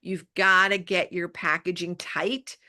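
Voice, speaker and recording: A woman guesting on a podcast, talking about marketing in an energetic, emphatic way and repeating her key phrases. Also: on the low side, measured pace. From Los Angeles area, US. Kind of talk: casual interview chat.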